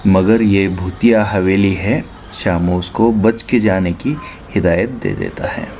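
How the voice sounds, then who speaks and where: Slow-paced, low-voiced man telling a tale. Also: a little mellow, a little hiss or hum. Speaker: storyteller. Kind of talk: scripted horror folk tale narration. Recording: prominent music under the voice. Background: music.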